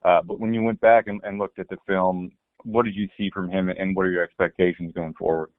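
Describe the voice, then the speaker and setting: A deep male voice with a dry sound comes over a thin, muffled phone line. He speaks as a sports reporter putting a question on a press call. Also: little pitch movement, fairly quick, dry recording.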